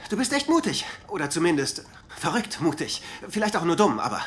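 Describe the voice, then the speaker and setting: A man playing a bewildered, blunt sidekick in a dubbed TV drama, with an agitated, halting delivery. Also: on the high side, very animated, a little hiss or hum. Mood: blunt, amazed. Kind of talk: dubbed screen drama dialogue.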